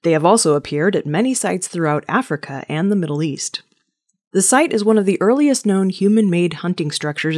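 Low-pitched woman reading scripted news. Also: darkish tone. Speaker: news reader.

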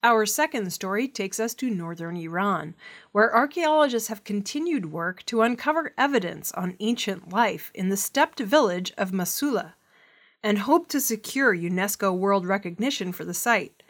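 A woman reading scripted news stories as a measured narration for listeners. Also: medium pitch, lively intonation. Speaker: news reader.

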